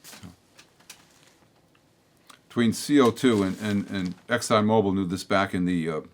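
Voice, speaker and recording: A somewhat low-voiced older man talks straight to the audience of his public-access show. He rambles bluntly, restarts often and drifts into asides. His throat sounds a little hoarse. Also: measured pace. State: slightly hoarse, with a throat tickle. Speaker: host of a local public-access political show. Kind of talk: rambling opinion monologue to camera.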